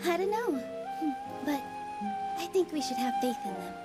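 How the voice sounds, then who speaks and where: A woman voicing a gentle, encouraging young dancer in a video game, speaking sweetly and sincerely. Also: sits high, very animated, moderate pace. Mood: thoughtful. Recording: music faintly behind.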